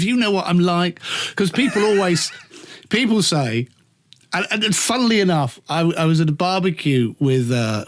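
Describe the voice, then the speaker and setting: A middle-aged British man chatting in a camp, theatrical way, telling anecdotes and acting out the dialogue as he goes. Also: a little high. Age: middle-aged or older. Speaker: radio presenter, interview guest. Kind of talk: animated anecdotal chat.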